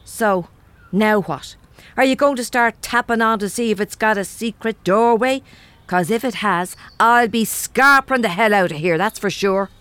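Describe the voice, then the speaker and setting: A woman's voice: mid-range voice, normal speed, a bit bright, clear, resonant tone, no room sound, lightly acted. An actress playing a blunt, suspicious Irish woman in a comedy audio drama, complaining in colloquial speech with an Irish accent. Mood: wary, dry. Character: blunt, suspicious, no-nonsense Irish woman, reluctant time traveller.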